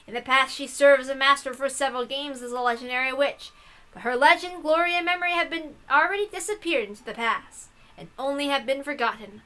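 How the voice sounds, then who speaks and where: A woman's voice: high voice, fairly quick, sharp tone, rough recording. A woman hosting a game playthrough, reading text aloud and adding playful, casual asides. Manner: playful, giggly, swearing asides.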